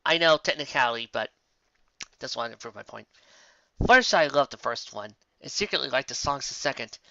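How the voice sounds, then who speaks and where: An amateur reader narrating a fan fiction story in an animated way, close to the microphone. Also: medium pitch, brisk, gravelly, dry recording. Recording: slightly dull, close dry recording.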